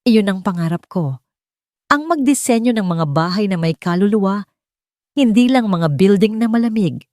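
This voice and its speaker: A woman voicing a gentle, wistful gentleman heir and employer in an audio story. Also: medium pitch, animated, moderate pace, no echo, studio quality, pitched above the performer's own voice, close to an everyday voice. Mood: wistful, resigned.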